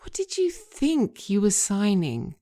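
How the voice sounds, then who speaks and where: A woman's voice: mid-range voice, wildly swooping pitch, slow, mellow. A woman playing an exasperated, stern lawyer with a clipped, reproving manner, in a scripted audio drama. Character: exasperated, stern female lawyer scolding her client.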